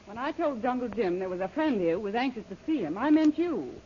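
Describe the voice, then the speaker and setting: Animated, commanding female gang boss. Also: fairly high pitch, fast. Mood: cool, scheming. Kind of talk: 1930s radio adventure acting. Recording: muffled, noisy old-time radio recording.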